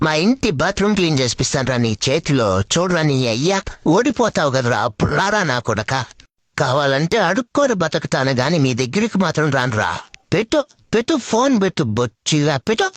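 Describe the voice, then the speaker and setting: Man doing a brash young cartoon buddy, animated pitch, dry close sound, excitable slangy banter. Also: brisk, no echo. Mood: cocky. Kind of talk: Telugu comedy cartoon skit dubbing.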